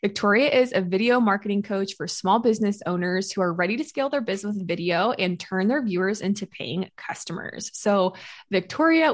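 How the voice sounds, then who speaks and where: A woman hosting a podcast, upbeat and enthusiastic as she introduces the show and her guest. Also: medium pitch. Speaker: podcast host.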